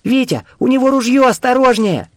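A man's voice: extremely high pitch, expressive, fairly quick, dry recording, pitched above the performer's own voice. A man voicing an alarmed woman held hostage in an audiobook, crying out urgent warnings.

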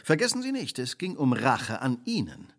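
An actor playing a shrewd, relentless detective in a radio drama, speaking precisely, formally and theatrically. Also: male voice, medium pitch, big pitch swings, moderate pace, a bit bright. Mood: grave, revealing. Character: shrewd, relentless male detective laying out a crime.

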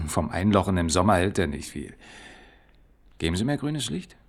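Wry, low-pitched male detective. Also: very animated, normal speed, creaky, exaggerated voice. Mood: wry, persuasive. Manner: laconic, wry, colloquial. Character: dry, shrewd male police detective reporting to his chief. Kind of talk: German radio crime play dialogue.

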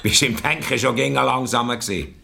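An actor in a radio play playing a gruff, wealthy man in his sixties, curt and commanding, with a Bernese Swiss German accent. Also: mid-range voice, moderate pace, pushed and bright. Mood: gruff, taunting. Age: 60s. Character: gruff, wealthy old returned emigrant, aged about sixty-five.

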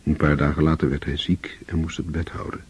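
A male narrator reads a literary radio play in a measured, solemn way. His voice is deep, dark and very husky, and the old recording sounds dull and lo-fi. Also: little pitch movement.

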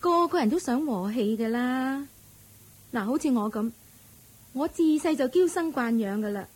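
High-voiced, pampered young wife. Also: female voice, animated, husky. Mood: petulant. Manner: petulant, drawn-out complaining. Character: pampered, touchy young wife and new mother. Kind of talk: Cantonese radio drama acting.

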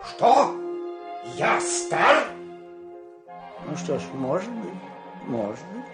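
A man's voice: high voice, sing-song, very slow, reverberant, over loud music, exaggerated voice. An older man acting a proud, weary aging king in a theatrical, declamatory, imperious manner in an audio fairy tale. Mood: indignant, then resigned. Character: proud aging king, commanding and weary, resigned to die fighting.

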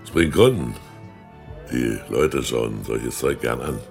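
A man's voice: extremely low pitch, slow pace, creaky, a little mellow, faint music behind, exaggerated voice. An actor playing a chummy, haggling old carnival showman in a radio play, folksy and sly.

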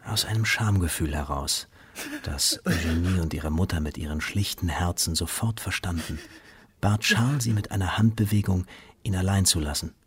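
A man's voice: deep voice, normal speed, breathy edge. A man delivering scripted literary narration in a radio play. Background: music.

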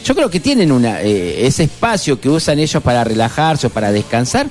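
A man's voice: medium pitch, fairly quick, faint music behind. A man co-hosting a casual radio show, chatty and full of fillers. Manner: lively, chatty, many fillers and laughs. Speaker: radio co-host.

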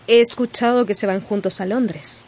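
An actress playing a spirited, guarded young gentlewoman in a radio drama, polite but pointed and direct. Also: mid-range voice, steady tone, normal speed, close to an everyday voice. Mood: probing, troubled.

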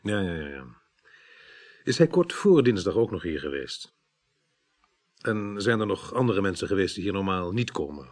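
An actor playing a courteous, probing police inspector with a quietly wry manner in a radio drama. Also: male voice, low-pitched, sing-song, normal speed, long pauses. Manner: courteous, repeats himself, probing.

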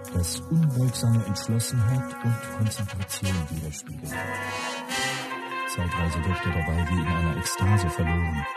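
Actor as solemn documentary guy, lowish voice, declamatory, music blaring underneath. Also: close to an everyday voice. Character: solemn male documentary narrator. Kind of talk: scripted radio documentary narration. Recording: loud music behind the voice.

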